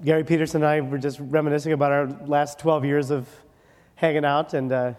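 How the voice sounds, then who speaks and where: A man's voice: on the high side, level tone, darkish tone. Man lecturing in a reverberant hall. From Wisconsin. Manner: joking, rapid, ends points with "okay?". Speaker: dermatologist lecturer. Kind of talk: conference medical lecture. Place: conference hall.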